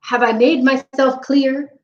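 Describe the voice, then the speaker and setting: A woman's voice: high voice, fairly even intonation, takes it slowly. A woman voicing a bossy, grumpy witch who talks in a snappish, scolding way. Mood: threatening. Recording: roomy, slightly dull recording.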